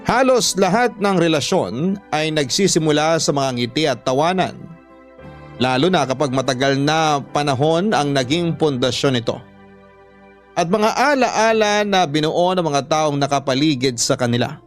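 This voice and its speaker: Higher-voiced Filipino man narrating a letter. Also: lively intonation, moderate pace, faint music behind. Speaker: radio drama host reading listener letters. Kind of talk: scripted dramatic narration of a letter.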